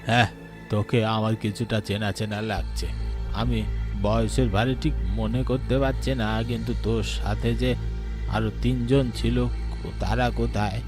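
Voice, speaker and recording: A man's voice: fairly low pitch, level tone, a little slow, lightly acted. Man acting as old grandfather, stern, village elder, horror cartoon dialogue, strong music behind. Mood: searching, puzzled. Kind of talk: animated horror story dialogue.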